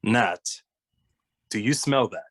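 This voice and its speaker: A man voicing a dry, skeptical, sensible detective with a deadpan manner in an animated comedy read. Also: on the low side, slow. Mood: serious.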